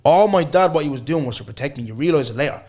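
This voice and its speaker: A man, hosting a podcast in loose, slangy chat, his voice hoarse. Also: fairly high pitch, racing. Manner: loose, animated storytelling with lots of slang and repeated "bro". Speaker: podcast host. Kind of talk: casual chat.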